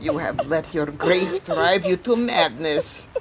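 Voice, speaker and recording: A woman's voice: low voice, animated, a loud crowd. A woman playing a weary old female spirit medium, solemn and foreboding, in a theatrical audio drama. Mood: stern, weary. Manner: solemn, dramatic, deliberate pronouncements.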